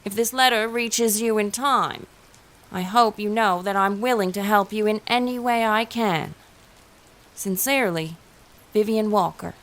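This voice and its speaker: A woman's voice: mid-range voice, measured pace, fairly bright tone, natural voice. An actress playing an affectionate, teasing sister in a period audio drama, reading her letters aloud with composed, formal diction. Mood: worried, earnest.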